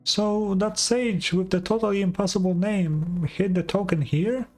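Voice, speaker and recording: A man plays a tiny, excitable fairy girl from a video game. The voice is pitched well up, chirpy and childlike, with game music behind it. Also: measured pace. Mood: awed, alarmed. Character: small, excitable, childlike female fairy companion. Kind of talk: video game dialogue read aloud.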